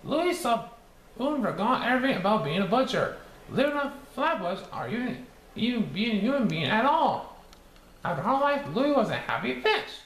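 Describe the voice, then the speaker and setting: Cheerful cartoon sponge reading a storybook, high, wildly swooping sing-song delivery with stumbles, in an echoey room. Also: male voice, sing-song, normal speed, a bit bright, full character voice. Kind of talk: storybook read-aloud. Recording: echoey room with faint background noise.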